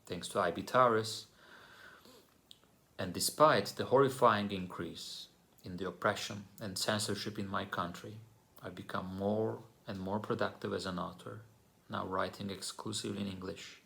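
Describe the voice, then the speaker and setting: Male author from Turkey, lowish gravelly voice, measured prepared reading. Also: fairly even intonation, sharp tone. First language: Turkish.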